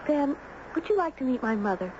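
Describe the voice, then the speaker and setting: A woman playing a gentle, mysterious young girl in a 1970s radio drama. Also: high-pitched, very animated, brisk. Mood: inviting. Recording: muffled, noisy 1970s radio recording.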